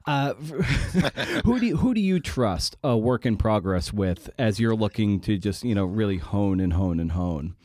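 A man hosting a podcast, asking questions in a casual, halting way as he thinks aloud. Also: lowish voice, big pitch swings, a little mellow, no room sound, clean studio sound. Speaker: podcast host, interviewer.

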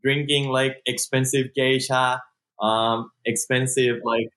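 A man's voice: mid-range voice, level tone, takes it slowly, fairly bright tone. A young Thai man with a Thai accent, chatting in an interview in non-native English, full of "like" and restarts, laughing easily. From Thailand. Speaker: guest entrepreneur. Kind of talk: casual interview.

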